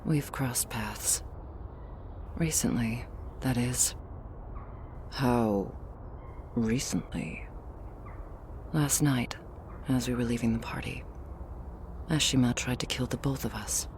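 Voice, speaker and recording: Woman voicing a very low, stern vampire bodyguard. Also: slow pace, pauses often, a little hiss or hum. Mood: guarded. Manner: low, hushed, dry and terse. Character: Kazumi, stern, guarded female vampire bodyguard. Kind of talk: ASMR audio roleplay.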